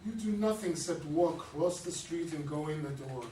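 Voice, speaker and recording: A man's voice: a little high, normal speed, warm tone, close to an everyday voice. A man playing a cold domineering militant commander. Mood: commanding. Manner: commanding, curt, preaching. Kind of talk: readers theatre play reading. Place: stage in a theatre. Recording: roomy, distant, faint noise.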